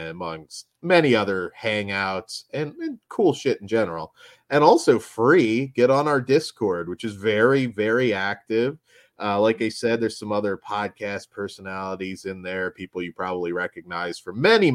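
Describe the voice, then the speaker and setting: Lively low-voiced man losing his voice. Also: no echo. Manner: rambling, hesitant plugs with many fillers. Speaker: podcast co-host. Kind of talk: casual podcast chat.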